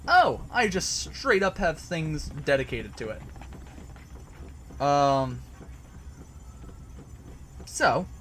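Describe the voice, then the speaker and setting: A man with a fairly high voice talks slowly and haltingly, stopping and restarting often as he answers his chat. He is recording at home in a basement, with a faint hum of background noise behind him. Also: sing-song, a bit bright. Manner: hesitant, many restarts and fillers. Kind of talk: casual livestream explanation.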